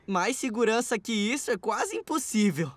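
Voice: mid-range voice, big pitch swings, normal speed, no room sound. A performer voicing a helpful, easygoing young motorbike friend in a dubbed children's cartoon, cheery and encouraging. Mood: confident. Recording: loud music behind the voice.

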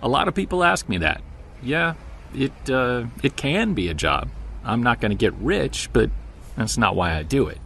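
A man's voice: medium pitch, sing-song, moderate pace, gravelly, a bit bright, slight background noise. A man playing an earnest, wry, self-deprecating amateur monster hunter in a naturalistic audio drama. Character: driven, self-deprecating male amateur Bigfoot investigator.

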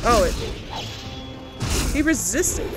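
A woman's voice: on the high side, very animated, slow pace, loud music behind the voice. A woman doing casual let's play commentary, chattily thinking aloud as she plays. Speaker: gaming video host. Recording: loud game noise behind voice. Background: fantasy video game sound.

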